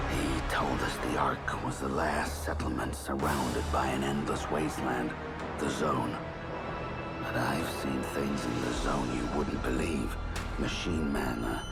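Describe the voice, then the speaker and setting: A rock-bottom deep, gruff mutant soldier of the wasteland, brooding and bitter. He speaks slowly in terse, whispery phrases with a heavy gravel, over loud music. It has the grim tone of video game narration. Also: full character voice. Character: gruff, embittered male mutant stalker narrating a wasteland story. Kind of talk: brooding first-person scripted game narration.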